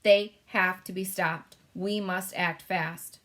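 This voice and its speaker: A woman's voice: lowish voice, fairly even intonation, slow pace, fairly bright tone. Woman voicing a composed queen, firm, sensible, storybook reading. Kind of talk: storybook read-aloud.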